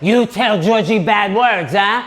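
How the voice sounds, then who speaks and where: A woman's voice: mid-range voice, measured pace, pitched above the performer's own voice, natural voice. A man doing a stern old Greek grandmother. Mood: scolding. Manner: blunt broken English, scolding.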